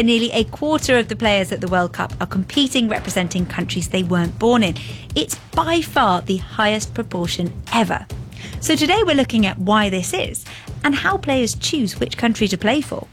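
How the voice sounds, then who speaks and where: A woman hosting a news podcast, presenting scripted explanations and interviewing a guest in an upbeat, explanatory way. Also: on the high side. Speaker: podcast host.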